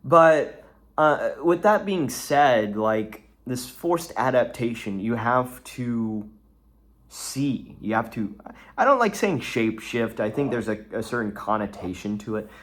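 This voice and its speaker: A man's voice: mid-range voice, lively intonation, unhurried. A young man from Texas, rambling through a solo vlog with lots of restarts and fillers. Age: young adult. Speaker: vlogger.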